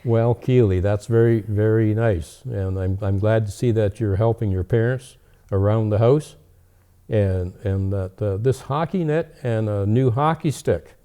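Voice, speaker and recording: Man as old Father Christmas, very soft dark tone, kindly, avuncular, children's storytime. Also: on the low side, a little slow, clean tone, natural voice. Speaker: storytime co-host. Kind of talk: children's holiday show, letter reading.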